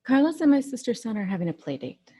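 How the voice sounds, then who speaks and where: A woman's voice: on the high side, sing-song, quick pace, dark tone, husky. A woman acting in an audio drama as a persistent young mother who wants her ex back, speaking insistently and intimately. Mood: casual. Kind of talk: naturalistic scripted audio drama. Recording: music playing under the voice.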